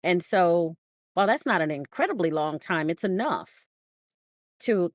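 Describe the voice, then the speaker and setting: American female podcast co-host, notably low voice, close dry sound, emphatic conversational storyteller. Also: no echo. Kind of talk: conversational discussion.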